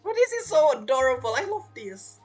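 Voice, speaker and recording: A woman's voice: high-pitched, very animated, a little fast, faint music behind. A woman streamer giving casual game commentary, chatty and reacting aloud in short fragments. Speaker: gaming streamer.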